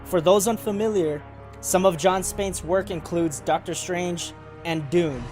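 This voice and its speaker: A man's voice: sits high, unhurried. A man narrating a scripted countdown of film news, with casual asides. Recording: loud music under the voice. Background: music.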